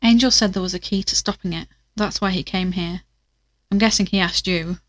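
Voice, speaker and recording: A woman's voice: fairly low pitch, fairly quick, dry recording, close to an everyday voice. A woman playing an earnest investigator in an audio drama, talking in a thoughtful, conversational way. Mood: urgent, questioning. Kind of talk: audio drama acting, naturalistic diary narration.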